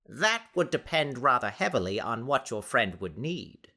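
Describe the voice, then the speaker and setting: A man's voice: medium pitch, sing-song, bright, forceful tone, full character voice. Audiobook villainous merchant, thirties, played by a man: grandiose, oily, pleasant, theatrical showman's delivery. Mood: slyly pleasant. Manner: theatrical, amicable, drawn-out salesman patter. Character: grandiloquent, leering huge merchant in his mid-thirties, slick showman.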